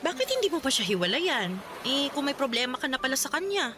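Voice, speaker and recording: A woman's voice: high voice, sing-song, quick pace. An actress playing a blunt, meddling young female friend in a radio drama, teasing and pushy. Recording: clear background noise.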